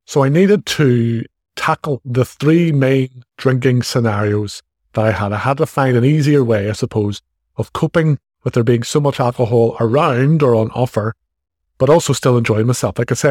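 A man's voice: mid-range voice, a little mellow, dry recording, studio quality. A man in his forties with an Irish accent, hosting his own podcast in a frank, chatty way. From Ireland. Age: 40s. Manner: frank, chatty, self-deprecating. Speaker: podcast host. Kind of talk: casual solo podcast monologue.

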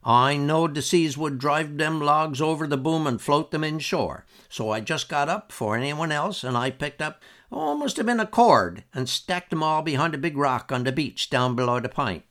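A man's voice: on the high side, expressive, moderate pace, fairly bright tone, voice pushed up. A man voicing a wiry, stubborn old fisherman in his nineties with a sly, grumbling manner. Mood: boastful. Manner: sly, cantankerous, dialect speech.

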